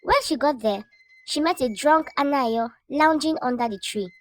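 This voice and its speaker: A woman narrating a scripted folktale as a storyteller, in a measured, dramatic way. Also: sits high.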